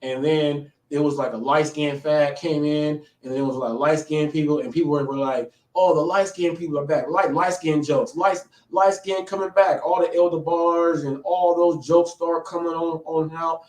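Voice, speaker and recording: A man's voice: fairly high pitch, fairly even intonation, normal speed, hardly any pauses, a little mellow. A man in his late thirties hosting a live show, talking in a rambling way and often checking in with "okay?". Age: 30s. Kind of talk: casual live commentary. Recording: echoey room, a bit far from mic.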